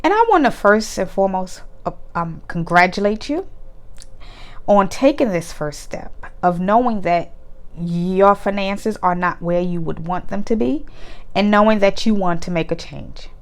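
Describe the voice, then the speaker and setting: A woman's voice: mid-range voice, lively intonation, measured pace. Stuffy-nosed woman coaching earnestly. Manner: earnest, asks the listener many questions; encouraging, speaks straight to the viewer. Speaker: credit and money coach. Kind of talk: direct-to-camera coaching talk.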